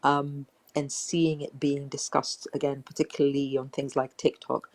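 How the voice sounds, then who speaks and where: A man's voice: mid-range voice, little pitch movement, moderate pace, poor audio. A man on a live stream, chatting hesitantly with many ums and restarts. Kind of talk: casual live-stream chat.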